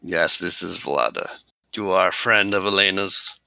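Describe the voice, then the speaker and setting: A man voicing a dry, businesslike Russian male hacker with a gravelly put-on accent and clipped broken English. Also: low-pitched, normal speed, pushed and bright, no room sound, pitched below the performer's own voice, full character voice, low-quality recording. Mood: deadpan.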